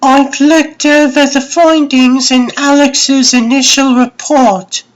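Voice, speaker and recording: A woman's voice: on the high side, poor audio. A woman playing a composed, clever young scientist in a comic dub, speaking precisely and formally.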